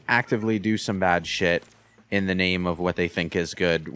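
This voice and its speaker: A man with a fairly low voice co-hosts a game commentary. He talks casually and haltingly, reasoning his way through each point in a measured manner. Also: fairly bright tone. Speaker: co-host of a video game commentary show. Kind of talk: casual discussion.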